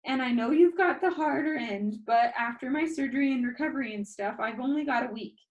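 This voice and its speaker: A woman's voice: high-pitched, a little fast, lo-fi sound, voice pushed up, natural voice. Woman as an eager, emphatic young boy. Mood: determined, pleading. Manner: emphatic, pleading.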